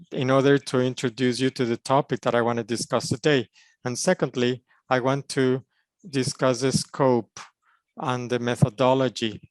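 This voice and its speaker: A man's voice: medium pitch, dry recording. Man interpreting a panel in formal phrases. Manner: steady, formal phrasing in short chunks.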